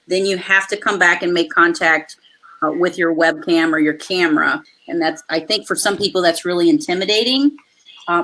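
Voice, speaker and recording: A woman hosting a live webinar over a video call, coaching viewers in an energetic, chatty way with a loud voice that carries. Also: medium pitch, rough recording. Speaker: host.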